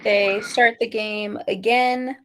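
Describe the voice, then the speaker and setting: A woman's voice: medium pitch, steady tone, slow pace, slight background noise. A woman giving scripted narration as the stage directions reader at a table read.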